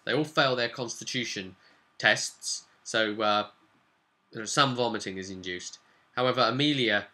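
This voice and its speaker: Bright, sharp-voiced man recounting a game. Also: medium pitch. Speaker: game master recapping his tabletop role-playing campaign. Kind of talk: casual recap storytelling to camera.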